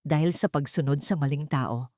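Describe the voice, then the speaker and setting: A woman's voice: low voice, moderate pace, warm tone, no echo, clean studio sound, pitched below the performer's own voice, natural voice. A woman voicing an earnest, remorseful man in love in an audiobook, tender and solemn. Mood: tender pleading.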